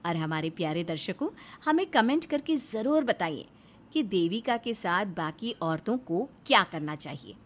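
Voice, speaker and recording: A woman's voice: lowish voice, big pitch swings, normal speed. A woman serving as narrator of a cartoon moral story, reading a dramatic scripted narration.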